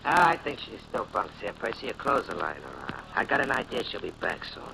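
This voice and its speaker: Deep, cold-blooded gangster. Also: male voice, wildly swooping pitch, brisk, creaky, full character voice. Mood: menacing. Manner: terse, menacing. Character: cold-blooded male gangster killer, in charge. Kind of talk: 1940s radio crime acting. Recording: very noisy old radio recording.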